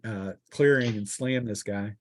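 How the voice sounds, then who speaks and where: A man narrating a tabletop roleplaying game as game master, in a casual, halting way as he thinks aloud. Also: on the low side, dry recording.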